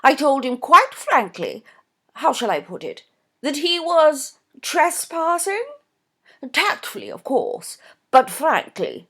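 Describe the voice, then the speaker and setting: Woman putting on a fussy plump mom, high and swoopy, super insistent. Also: big pitch swings, slow pace, a bit bright, exaggerated voice. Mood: emphatic. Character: gushing, emphatic middle-aged mother, plump.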